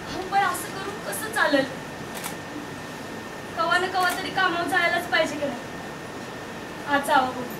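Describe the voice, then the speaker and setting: A woman's voice: very high-pitched, moderate pace, long pauses. A woman playing a caring village wife who pleads with and coaxes her grieving husband in rural Maharashtrian Marathi, in a stage drama. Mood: gently coaxing. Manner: coaxing, pleading. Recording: distant echoey stage recording, loud noise.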